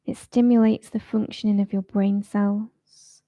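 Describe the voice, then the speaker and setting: A woman teaching yoga nidra, leading a guided relaxation in a soft, soothing, informal way. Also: mid-range voice, flat delivery, unhurried, no echo, muffled recording.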